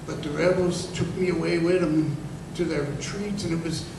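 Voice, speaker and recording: A man playing an aging, crippled, humble ex-soldier turned conjurer in a radio drama, with halting, rustic, impassioned speech. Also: a little high, steady tone, creaky. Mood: grim, recounting. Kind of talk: old-time radio drama acting. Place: live performance in a museum. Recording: roomy, distant, noisy live stage recording.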